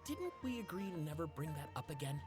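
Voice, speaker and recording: A cheeky mercenary grunt, voiced by an actor teases in a lively, bouncing tone. Game music plays clearly behind the voice. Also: mid-range voice, a little fast, natural voice. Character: cheeky mercenary brigade member. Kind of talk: video game voice acting.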